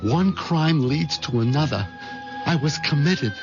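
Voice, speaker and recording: An actor playing a nervous, henpecked middle-aged husband with a hesitant manner in a 1970s radio drama. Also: male voice, a little high, lively intonation, a little slow. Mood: anxious, confiding. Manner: stammering, hesitant, anxious. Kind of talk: 1970s radio drama acting and first-person narration. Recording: muffled 1970s radio broadcast with music.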